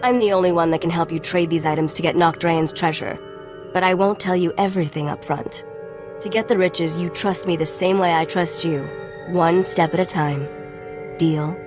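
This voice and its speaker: A woman's voice: on the low side, fairly even intonation, moderate pace, over music, lightly acted. A woman playing a shrewd, self-assured young smuggler in a video game, cool and wry. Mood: businesslike.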